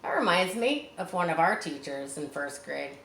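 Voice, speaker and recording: A woman reading a children's chapter book aloud, animated, with chatty asides to young listeners. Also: low-pitched, normal speed, creaky, echoey room, slight background noise. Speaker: reader.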